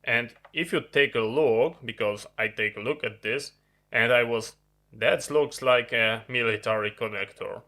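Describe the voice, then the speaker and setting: A man who is a non-native English speaker, giving a halting, unscripted hands-on explanation of a piece of gear as a video host. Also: mid-range voice, bright, forceful tone. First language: not English. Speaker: host of an electronics review channel. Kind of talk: unscripted hands-on product demonstration. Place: indoor electronics workbench.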